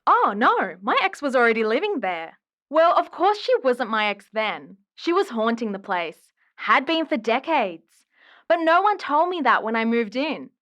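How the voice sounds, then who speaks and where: Audio drama caller: aggrieved, gossipy woman, high and very animated. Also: moderate pace. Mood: chatty, wistful. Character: exasperated, dramatic woman caller griping about her ghost ex. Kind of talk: audio drama phone-in acting.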